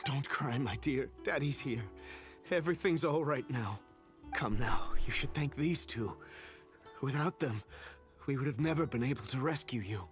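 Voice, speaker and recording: A man's voice: medium pitch, moderate pace, over music, lightly acted. A man voicing a kindly, polite father character in an anime game, with formal, soothing wording. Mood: tender. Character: kindly, grateful, courteous father of a rescued girl. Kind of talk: English anime game voice acting.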